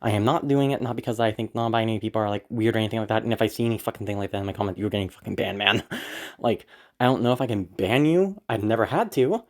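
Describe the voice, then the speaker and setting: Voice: mid-range voice, quick pace. Congested, anxious, rambling content creator. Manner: anxious, apologetic, swearing, giggly. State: congested from being sick. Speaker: audio roleplay creator. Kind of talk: casual rambling talk to viewers.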